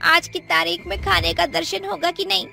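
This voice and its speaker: A woman's voice: very high voice, flat delivery, moderate pace, gravelly, pushed and bright, heavily acted. A woman voicing a bratty, ungrateful daughter in a cartoon, whiny and scornful. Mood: demanding. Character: bratty, ungrateful schoolgirl daughter of poor mother. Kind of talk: cartoon voice acting. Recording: music under the voice.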